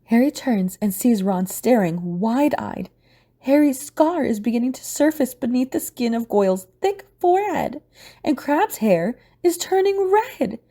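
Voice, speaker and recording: A woman with a husky voice reading scripted audio drama narration as the narrator and host. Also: on the high side, sing-song, a little slow, mellow.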